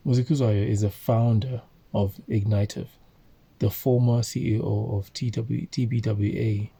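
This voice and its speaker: A man from Zambia reading a book aloud and commenting on it, halting often with fillers and self-corrections. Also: fairly low pitch, steady tone, vocal fry, dark tone, husky.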